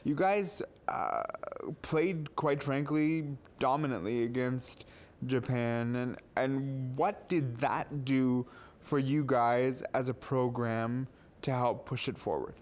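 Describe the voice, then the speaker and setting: Slow-talking male podcast host. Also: mid-range voice. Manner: measured, with fillers. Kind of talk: scripted intro then interview questions.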